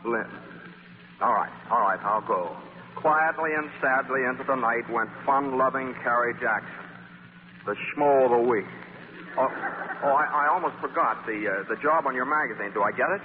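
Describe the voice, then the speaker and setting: An actor playing a wisecracking, charming, restless journalist and former suitor in 1940s radio style, stammering a little between flippant, self-mocking quips. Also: male voice, medium pitch, normal speed, close to an everyday voice. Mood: flippant, coaxing. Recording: hissy, tinny old radio broadcast, hall reverb.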